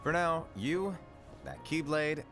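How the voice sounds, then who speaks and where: A teasing hooded keyblade master. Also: male voice, fairly high pitch, expressive, takes it slowly. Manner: teasing, breezy, secretive. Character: mysterious playful hooded male keyblade master. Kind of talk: game cutscene voice acting. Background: game soundtrack music.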